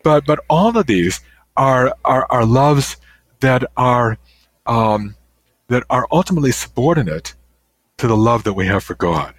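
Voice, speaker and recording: An older man, a guest author, answering interview questions in a thoughtful, halting way with many restarts. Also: medium pitch, animated, no room sound.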